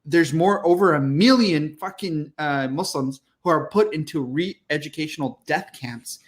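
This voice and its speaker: A man in his thirties with a higher-than-usual voice, co-hosting a casual podcast. He rants bluntly, swears a lot and throws in crude jokes. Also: a little slow. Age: 30s. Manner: blunt, profane, jokey ranting. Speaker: podcast co-host. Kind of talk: casual chat.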